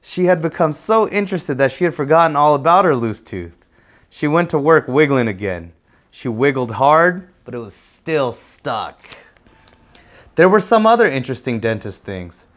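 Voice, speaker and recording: A man's voice: fairly high pitch, sing-song, moderate pace, fairly bright tone. A man narrating a children's storybook aloud as a guest reader.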